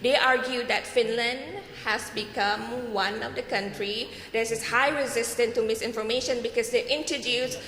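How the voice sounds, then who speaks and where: A woman from Malaysia giving a panel presentation, halting, with many fillers. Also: a little high, fairly even intonation, a bit bright, a little hiss or hum. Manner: halting, frequent fillers and "what we call it". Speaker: conference panelist. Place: conference hall. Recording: echoey hall.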